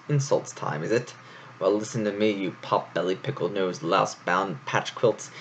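A man reading aloud, voicing a pompous, theatrical male hare who leads a band of traveling players, in a booming, grandly dramatic manner. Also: a little hiss or hum, lightly acted.

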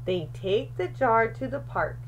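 A woman reading a children's picture book aloud in an expressive, deliberate storyteller's manner. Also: on the high side, dull audio, a little hiss or hum. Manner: animated, deliberate, with questions and asides to young listeners.

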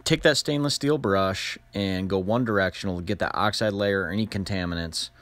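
Male tutorial narrator, lowish pitch, plain-spoken and casual, close dry voice-over. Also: a little fast, a bit bright, no room sound. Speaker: instructional narrator.

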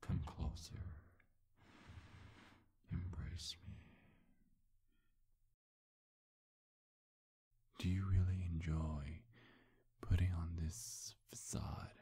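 A man playing a smug, seductive demon in an intimate ASMR roleplay, breathy and husky, teasing in a hushed, hypnotic way. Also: low-pitched, level tone, slow pace, pauses often, no room sound, full character voice. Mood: hypnotic, coaxing. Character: seductive, smug male demon posing as a handsome gentleman.

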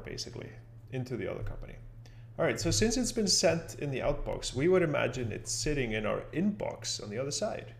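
A man giving an informal, unscripted software tutorial, thinking aloud step by step as he narrates his screen. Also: mid-range voice, creaky, a little hiss or hum. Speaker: software instructor.

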